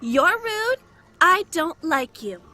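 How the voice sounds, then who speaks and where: A very high, animated little forest goddess, pouty and teasing in an audio drama. She speaks in a slow, childlike way, petulant one moment and playful the next. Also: heavily acted. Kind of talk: audio drama character acting.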